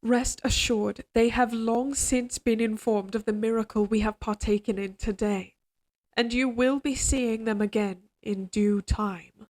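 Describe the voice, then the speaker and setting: A woman playing a regal, possessive queen in an audio roleplay drama, speaking in formal, measured courtly phrases, tender yet controlling. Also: fairly high pitch, steady tone, a little mellow. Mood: tender, reassuring.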